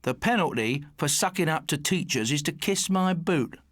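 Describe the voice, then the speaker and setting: A man's voice: fairly high pitch, expressive, normal speed, fairly bright tone, no room sound, studio quality. A man voicing a sneering, insolent schoolboy bully who taunts and jokes in an audiobook. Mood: taunting. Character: sneering schoolboy bully, cheeky class joker. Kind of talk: audiobook character voice.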